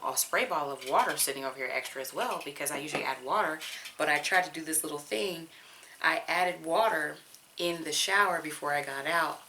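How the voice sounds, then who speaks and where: A woman's voice: sits low, bright, forceful tone, slight background noise, poor audio. A young woman from Georgia with a Southern accent, a teacher chatting candidly to her viewers while she detangles her hair at home. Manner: candid, chatty, talks straight to viewers with casual slang. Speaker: teacher and vlogger.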